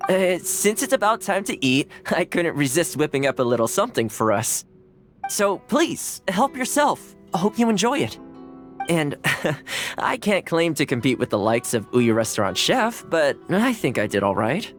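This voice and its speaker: A man's voice: on the high side, very animated, moderate pace, soft background music. A man playing a friendly, easygoing young male housekeeper in a video game, casual, courteous and modest in manner. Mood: welcoming, modest. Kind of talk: English video game voice acting.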